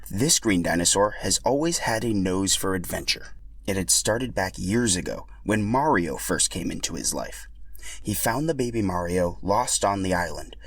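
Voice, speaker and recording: Low-voiced man narrating, dry close sound. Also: fairly bright tone, dry recording. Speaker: podcast host and storyteller. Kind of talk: scripted narration.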